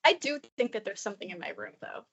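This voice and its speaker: A woman's voice: mid-range voice, huge pitch swings, quick pace, a bit bright, slightly rough. A young woman co-hosting a podcast, chatting casually and rambling with many fillers. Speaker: podcast co-host. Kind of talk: casual chat. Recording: muffled lo-fi recording.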